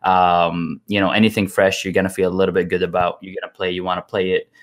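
A young man with a low, easygoing voice, a guest on a gaming podcast. He rambles loosely through his answers and laughs easily. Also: little pitch movement, quick pace. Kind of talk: casual podcast chat.

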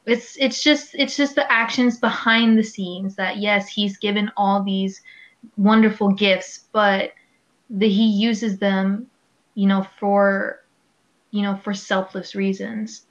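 A young woman in her twenties co-hosting a casual podcast, animated and laughing easily. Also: mid-range voice, slow pace. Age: 20s. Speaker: podcast co-host. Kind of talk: casual chat. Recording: slightly dull lo-fi recording.

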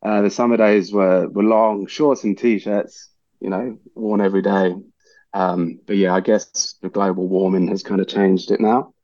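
Man, English accent with Australian twang, chef, relaxed, rambling, low voice, dull recording. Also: a little slow, no room sound. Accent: English with some Australian twang. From England. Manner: relaxed, rambling, many fillers, laughs easily. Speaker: chef, podcast guest. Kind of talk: casual interview chat.

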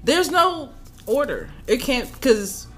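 A woman's voice: high voice, big pitch swings, takes it slowly, a bit bright, slight background noise. A woman co-hosting a podcast in blunt, playful casual banter. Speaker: podcast co-host.

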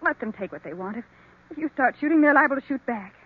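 Earnest worried young woman, high pitch, very expressive, radio drama, tinny old recording. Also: quick pace, breathy edge. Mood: uneasy. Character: earnest, caring, anxious young niece. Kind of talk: 1940s radio mystery acting.

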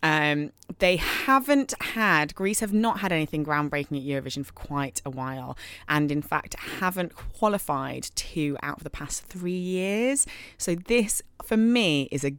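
A woman's voice: sits low, animated, unhurried, a bit bright, clear, resonant tone, no echo. A woman co-hosting a casual podcast, gushing enthusiastically as she reviews song contest entries. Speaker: podcast co-host.

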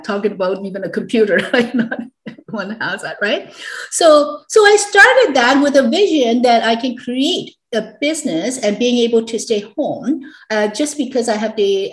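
A woman around sixty from Hong Kong, a guest educator and entrepreneur, talking casually with frequent laughs and filler words. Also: mid-range voice. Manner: chatty, laughs often, many fillers and restarts. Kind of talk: casual interview conversation. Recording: echoey room.

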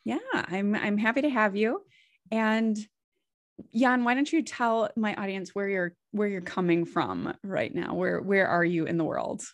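A woman from Wisconsin in the Midwest hosts a podcast, talking at a middling pitch. She sounds friendly but hesitates now and then. Her voice comes through a close mic in a dry, dead space. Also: unhurried, no room sound. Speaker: podcast host. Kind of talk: podcast interview. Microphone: headphones and a close mic.

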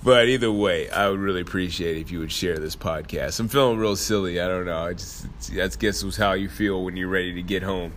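Congested male podcast host, loose and playful, bright tense voice. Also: deep voice, a little fast, few pauses, a little hiss or hum. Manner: loose and playful, chuckling between phrases. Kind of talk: casual podcast intro. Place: fitness studio.

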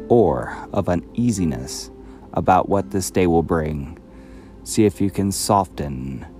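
A man reading a scripted guided meditation in a calm, measured, soothing manner. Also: deep voice.

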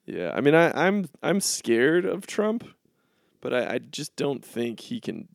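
A young man co-hosting a casual podcast chat, talking in halting bursts with frequent restarts and easy laughs. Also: mid-range voice, sing-song, vocal fry, dry recording, studio quality. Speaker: podcast co-host.

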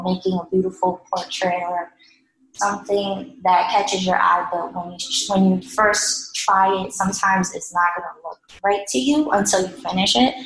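A woman's voice: lowish voice, fairly bright tone. A young woman from New York City, a guest artist answering interview questions casually, hesitant and full of fillers. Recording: echoey room with faint noise.

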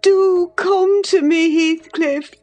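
A woman voicing a feverish, dying young woman who is passionate and yearning, speaking high and pleading. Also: fairly even intonation, takes it slowly, darkish tone, faint music behind.

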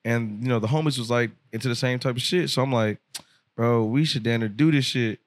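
A man in his twenties, a rapper telling stories on a podcast in a loose, profane, animated way, laughing often. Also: medium pitch, fairly quick, no room sound. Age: 20s. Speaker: rapper guest. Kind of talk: casual podcast storytelling. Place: podcast studio.

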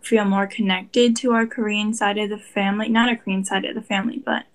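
A young woman talks in a loose, easygoing way and fills her sentences with "like". Her pitch is ordinary, and she sounds relaxed and a little unpolished. She is a daughter speaking in a casual interview. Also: fairly quick. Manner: casual, heavy use of "like".

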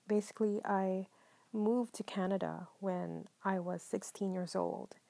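Soft-spoken woman with a slightly gravelly voice. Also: mid-range voice, no echo. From Philippines. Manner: calm, mellow, partly whispered, many ums. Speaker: podcast host. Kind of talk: soft-spoken solo podcast monologue.

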